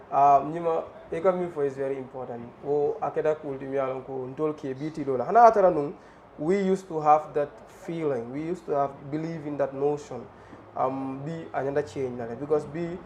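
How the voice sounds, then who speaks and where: A man's voice: a little high, fairly even intonation, a little fast, darkish tone, a little hiss or hum. A man hosting a TV interview, affirming his guest and mixing local phrases into his English. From The Gambia. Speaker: TV host. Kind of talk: live TV interview.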